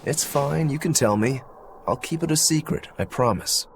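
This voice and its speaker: A man's voice: on the high side, moderate pace, slight background noise, close to an everyday voice. An actor playing a laid-back, worldly male demon in a game, speaking with dry wit. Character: easygoing adult male demon, wry and worldly.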